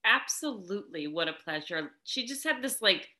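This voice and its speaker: A woman's voice: medium pitch, animated, moderate pace, sharp tone. A woman co-hosting a casual chat, bubbly and rambling, often trailing off mid-thought. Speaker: podcast co-host.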